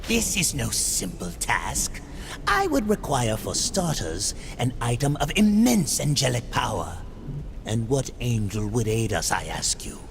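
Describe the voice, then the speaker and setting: A man's voice: wildly swooping pitch, a little slow, creaky, full character voice. An actor voicing a crafty male otherworldly schemer in a video game, with an arch, theatrical manner. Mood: sardonic. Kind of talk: video game cutscene acting. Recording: rumbling noisy background.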